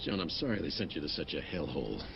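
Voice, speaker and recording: Deep-voiced stern army colonel. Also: male voice, sing-song, quick pace, gravelly, muffled recording, background noise, heavily acted. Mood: earnest, measured. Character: stern, seasoned male army colonel, urgent and commanding. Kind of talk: dramatic action-film dialogue.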